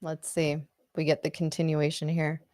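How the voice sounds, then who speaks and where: A woman's voice: deep voice, monotone, moderate pace, a little mellow, no echo. A woman co-hosting a live trading stream, chatting playfully and laughing often as she comments on the market. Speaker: co-host of a live trading show. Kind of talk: casual chat and market commentary.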